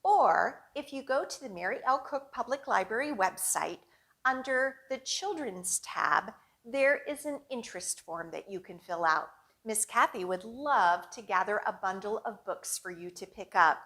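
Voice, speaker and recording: A woman hosting a children's storytime as a librarian, with an expressive, sing-song and deliberate delivery for young kids. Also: medium pitch. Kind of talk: children's storytime, picture book read-aloud and action rhymes.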